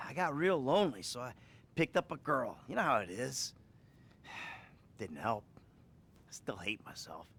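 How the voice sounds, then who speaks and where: A man's voice: medium pitch, lively intonation, measured pace, long pauses, fairly bright tone, husky, exaggerated voice. An actor playing a troubled middle-aged American criminal in a video game, rambling and profane as he confides in his therapist. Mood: rueful, self-loathing. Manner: profane, rambling, agitated, sighing. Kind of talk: acted video game cutscene dialogue.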